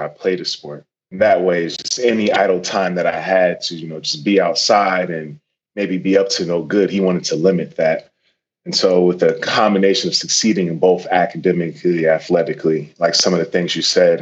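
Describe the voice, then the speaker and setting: Deep-voiced young athlete, relaxed talk. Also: male voice, dull audio. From Connecticut, USA. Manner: relaxed, casual, with fillers and restarts. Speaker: guest, professional football player.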